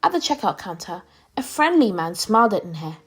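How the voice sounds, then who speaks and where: A woman reading a children's storybook aloud as a storyteller, with expressive, clearly phrased narration. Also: mid-range voice, fairly quick, soft background music.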